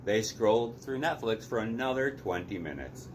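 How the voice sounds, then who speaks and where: A man's voice: fairly low pitch, lively intonation, normal speed, a little hiss or hum. A man narrating a story aloud expressively as a read-aloud host.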